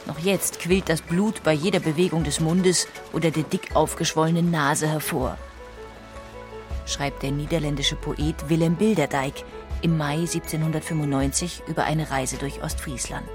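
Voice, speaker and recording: Female documentary narrator, lowish voice, composed literary delivery for an audiobook. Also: steady tone, moderate pace, music in the background. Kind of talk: scripted documentary narration with read quotations.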